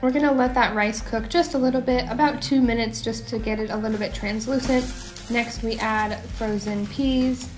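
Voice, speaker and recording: A woman's voice: a little high, moderate pace, faint music behind. Chatty young woman narrating her cooking. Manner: chatty, relaxed narration with small asides. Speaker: home cooking show host. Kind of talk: casual cooking voice-over. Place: home kitchen.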